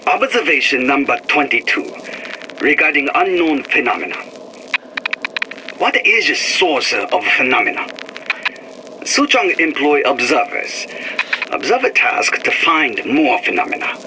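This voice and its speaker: Halting, clinical lab observer. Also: male voice, fairly high pitch. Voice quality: halting broken phrasing, clipped and methodical. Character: methodical male lab observer, halting speech. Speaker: research observer in a game audio diary. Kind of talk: game audio log. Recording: audio log with steady background noise.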